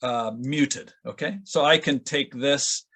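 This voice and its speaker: A man explaining audio editing step by step in an informal, halting way during an online session. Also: medium pitch, steady tone, bright, forceful tone. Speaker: audio editor demonstrating at a conference session. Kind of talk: informal software tutorial. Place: online video call with screen sharing.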